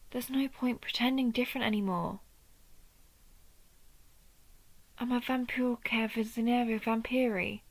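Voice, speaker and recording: A woman playing a guilt-ridden vampire hunter in an intimate audio roleplay, with a halting, brooding delivery. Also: a little high, vocal fry, heavily acted. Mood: grim resolve. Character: guilt-ridden female vampire hunter, wounded, turning dark. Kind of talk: dramatic audio roleplay spoken to one listener.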